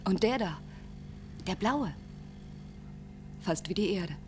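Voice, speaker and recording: A woman's voice: mid-range voice, lively intonation, takes it slowly, background noise. A woman playing the headstrong young daughter of a starship commander in a science-fiction radio drama, earnest and defiant. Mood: awed. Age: young adult.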